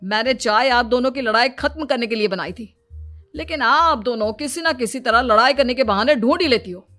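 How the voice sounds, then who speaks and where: A fairly high voice plays an exasperated young cartoon husband , voiced by a woman. He pleads and complains wearily, with light music behind him. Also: a little fast, a bit bright, natural voice. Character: weary, exasperated young husband caught between quarrels. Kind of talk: Hindi comedy cartoon character acting.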